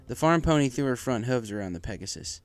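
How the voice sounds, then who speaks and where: A man's voice: medium pitch, expressive, fast, clean tone. A man reading a fan fiction story aloud as a scripted narration. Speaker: story reader. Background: music.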